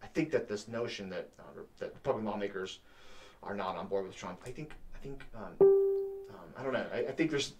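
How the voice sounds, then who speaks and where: A man co-hosting a political talk show, speaking haltingly with many restarts. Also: lowish voice, distant mic, over loud music, rough recording. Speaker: co-host. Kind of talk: casual political discussion.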